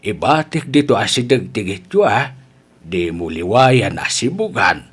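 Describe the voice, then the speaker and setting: A man acting as an eager, lovestruck older uncle in a comedy radio drama. He is husky and unhurried, with pitch that swings widely. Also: big pitch swings, a bit bright, heavily acted. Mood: eager, wooing. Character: eager, smitten older uncle courting with potted plants.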